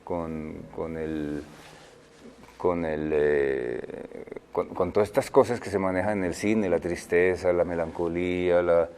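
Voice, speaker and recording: Low-voiced older man, halting. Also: a little mellow, clean tone. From Colombia. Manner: thoughtful, halting, many fillers and restarts. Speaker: guest, film director. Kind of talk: interview answers.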